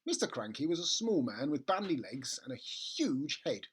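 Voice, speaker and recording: A storybook reader narrating a children's tale in an expressive, theatrical way. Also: medium pitch, normal speed, slightly rough. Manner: animated, theatrical.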